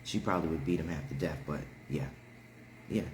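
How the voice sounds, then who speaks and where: A man hosting a live stream, telling everyday stories in a casual, animated way with frequent laughter. He has a deep, heavy voice. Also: brisk, reverberant, slight background noise. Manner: animated, laughs often, talks straight to viewers. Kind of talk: casual storytelling chat.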